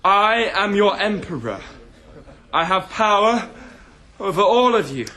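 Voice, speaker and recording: An actor playing a proud young emperor in a radio drama, declaiming grandly and defiantly. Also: male voice, high-pitched, very animated, unhurried, a crowd in the background. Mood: imperious.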